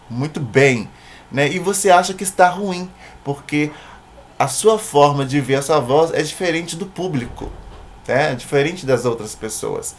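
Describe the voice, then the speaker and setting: A man with a Brazilian Portuguese accent narrating an informal singing tutorial in a conversational way, often checking in with short tag questions. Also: on the high side.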